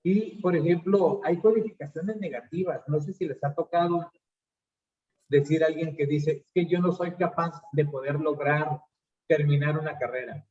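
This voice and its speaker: A man's voice: fairly high pitch, fairly even intonation, moderate pace, a little mellow. Man lecturing on a muffled call. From Mexico. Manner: explanatory, uses fillers like "este". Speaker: addiction counseling lecturer. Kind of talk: online seminar lecture.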